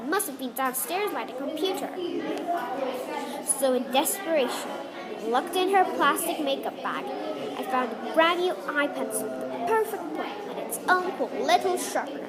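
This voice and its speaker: A woman's voice: high voice, expressive, moderate pace. A woman reading a children's story aloud. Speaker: book reader at a read-aloud event. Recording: busy crowd noise behind the voice.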